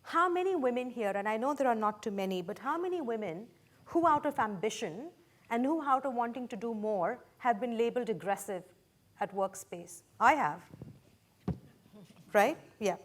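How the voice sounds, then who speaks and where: A woman moderating a panel discussion, measured and questioning, citing statistics to her guests. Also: a little high, animated.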